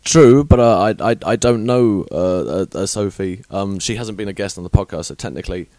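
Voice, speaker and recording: A man's voice: on the low side, a little fast, mellow, dry recording. A man from England hosting a podcast, chatting casually with frank, awkward rambling and many fillers. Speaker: podcast host.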